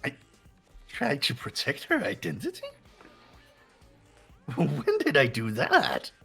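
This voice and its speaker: Taunting robot bear villain. Wildly swinging pitch, gravelly creak, theatrical glee. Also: male voice, huge pitch swings, slow, fairly bright tone, faint music behind, exaggerated voice. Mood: smug feigned innocence. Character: mocking, gleefully evil robot bear mastermind. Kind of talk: amateur video game voice acting.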